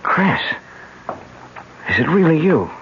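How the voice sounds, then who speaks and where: An actor playing a wary captive American husband in a 1970s radio drama. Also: male voice, a little high, sing-song, slow, heavily acted. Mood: astonished. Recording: muffled, faintly noisy radio recording.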